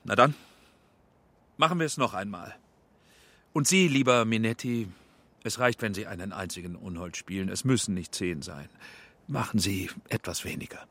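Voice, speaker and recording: An actor playing a weary, irritable but courteous film director in a German radio drama. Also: male voice, fairly low pitch, normal speed, long pauses, fairly bright tone, no room sound. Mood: irritated, exacting.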